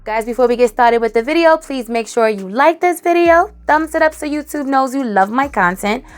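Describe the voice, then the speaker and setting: A woman with a hoarse, raspy voice after a cold, chatting animatedly as she reviews a perfume. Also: high voice, normal speed, soft background music. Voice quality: raspy, dry, cracking. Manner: animated, emphatic, tosses in "okay?". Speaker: fragrance reviewer. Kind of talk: casual chatty review to camera.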